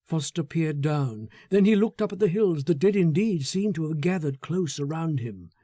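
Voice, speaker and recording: Male literary narrator: dark, relaxed timbre, measured dramatic delivery, close dry sound. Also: on the high side, husky, no echo. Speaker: audiobook narrator. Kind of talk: literary scripted story narration.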